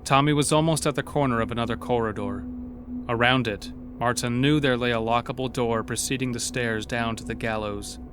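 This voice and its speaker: A man giving scripted, suspenseful narration for a horror audio drama, telling the story in a measured way. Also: mid-range voice, background noise, lightly acted. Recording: clear music bed behind.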